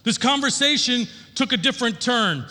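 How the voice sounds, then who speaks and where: A man's voice: extremely high pitch, expressive, normal speed, sharp tone. A middle-aged man preaching as a pastor, telling personal stories in an informal, folksy, candid way. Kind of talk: sermon with personal storytelling. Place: large church auditorium, on stage. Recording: long hall reverb from sound system.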